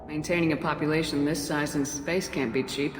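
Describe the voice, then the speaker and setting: A firm female space commander from a video game played by an actress. Her voice is deep and her manner direct and probing. She is heard in a reverberant hall with loud music. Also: fairly even intonation, brisk, lightly acted. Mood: cool, probing.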